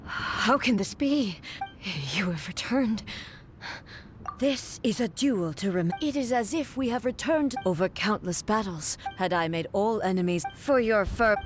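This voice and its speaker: A woman's voice: mid-range voice, lively intonation, unhurried, lightly acted. A woman playing a proud, stern immortal warrior goddess in a video game, speaking in a grave, formal, declamatory way. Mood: grave resolve. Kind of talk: dramatic video game dialogue. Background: game music.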